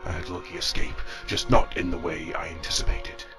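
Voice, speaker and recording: Guy doing a deep gravelly robot officer for an audio drama, hard-nosed and defensive, music behind. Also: fairly even intonation, a little fast, lightly acted. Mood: subdued confession.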